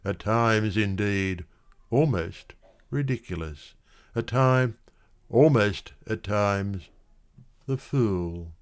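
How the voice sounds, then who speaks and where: A man reads poetry aloud in a low voice. He takes his time, very slow and measured, shaping each phrase expressively as a dramatic literary recitation. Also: expressive. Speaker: poetry reader.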